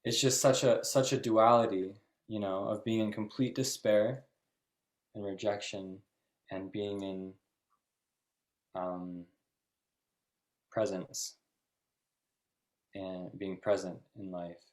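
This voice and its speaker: A man with a fairly low voice moderates a thoughtful interview. He builds his questions in reflective, halting pieces. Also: steady tone. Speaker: discussion moderator. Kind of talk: thoughtful post-show interview.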